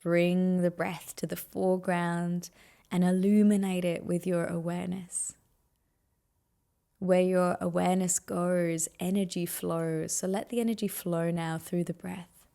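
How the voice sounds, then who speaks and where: Female meditation guide: very slow, soothing delivery with a gravelly fry at the edges. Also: on the low side, flat delivery, mellow. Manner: soothing, unhurried instruction with pauses.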